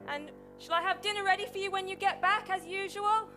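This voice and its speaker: A woman's voice: very high-pitched, fairly even intonation, a little fast, bright, forceful tone, soft background music. A woman playing a meek, downtrodden young pantomime heroine, stammering and earnestly eager to please. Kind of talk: improvised pantomime acting.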